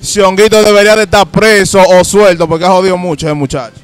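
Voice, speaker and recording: High-voiced Dominican man hosting street interviews. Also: fairly even intonation, fast, smooth, soft background music. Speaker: street interviewer and show host. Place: outdoors on a busy street by open shopfronts. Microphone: handheld microphone.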